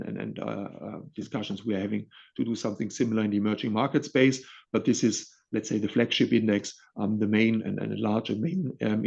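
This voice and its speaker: A man's voice: on the low side, vocal fry, a little mellow, husky. A man presenting index performance figures in a webinar, talking with frequent fillers and restarts. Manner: halting, many fillers and restarts. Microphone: video call. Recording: muffled lo-fi webinar audio.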